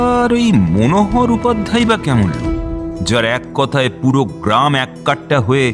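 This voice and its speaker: An actor in a radio drama playing a domineering, hot-tempered official with a hectoring, sneering manner. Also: male voice, a little high. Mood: incredulous scorn. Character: domineering, hot-tempered male project official, scornful boss. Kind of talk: Bengali radio drama acting.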